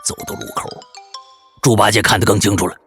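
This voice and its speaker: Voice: sits low, fast, gravelly, fairly bright tone, soft background music. A storyteller giving dramatic storybook narration in a folksy, traditional tale-telling manner.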